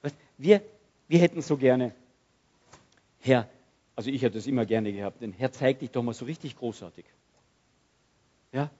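A man preaching a sermon, swinging from loud outbursts to hushed, quiet passages and acting out prayers. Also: medium pitch, normal speed, pauses often, muffled recording.

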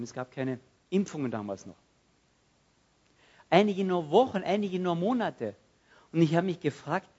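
A man preaching a sermon, swinging from loud outbursts to hushed, quiet passages and acting out prayers. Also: a little high, husky, highs cut off.

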